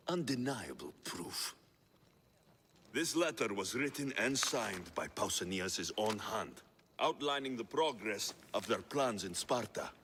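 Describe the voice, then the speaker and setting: An actor playing an earnest, defiant young Spartan warrior in a video game, speaking in a blunt, intense way. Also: male voice, on the low side, a bit bright, breathy edge, in a large hall, full character voice. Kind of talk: dramatic video game acting.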